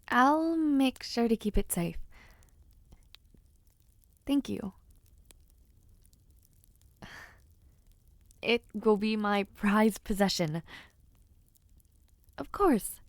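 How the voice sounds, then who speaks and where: A woman voicing a playful, affectionate young noblewoman knight in an audio roleplay, speaking softly and teasingly and often dropping into whispers. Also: fairly high pitch, vocal fry, dry recording, full character voice. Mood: tender, surprised. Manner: soft, teasing and intimate, drops to whispers.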